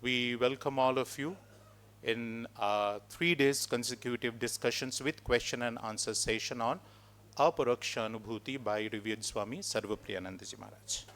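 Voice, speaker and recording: Loud, ceremonious man. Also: mid-range voice, normal speed. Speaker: host of the retreat. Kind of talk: formal speaker introduction. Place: retreat hall at an ashram.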